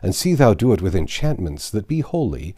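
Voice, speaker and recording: A man's voice: lowish voice, big pitch swings, fairly quick, mellow, breathy edge. A man reading a storybook, voicing an anxious, insistent old medieval abbot who pleads in solemn, archaic speech. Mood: pleading.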